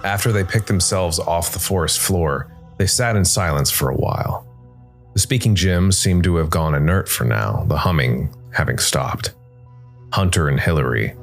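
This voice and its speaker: A man hosting and narrating a scripted story podcast in a measured way, with dramatic pauses. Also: rumbling low, lively intonation, gravelly. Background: soft music bed.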